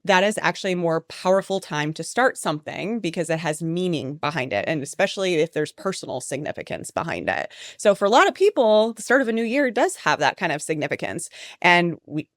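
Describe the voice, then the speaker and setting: A woman with a low voice speaks as a researcher on a podcast. She explains things in a chatty, conversational way and uses lots of fillers. The sound is dry and close. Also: animated, brisk, no echo. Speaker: mindset researcher, podcast guest.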